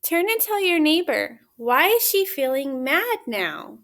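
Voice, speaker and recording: A woman reading a picture book aloud as a teacher, pausing to put simple questions to young children. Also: sits high, big pitch swings, creaky, fairly bright tone. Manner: simple questions with pauses for answers.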